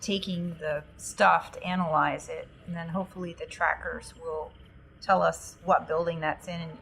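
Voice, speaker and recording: A woman's voice: low voice, fairly even intonation, normal speed, vocal fry, a bit bright, slight background noise. A woman playing a tabletop roleplaying game, talking through the group's plans in a casual way.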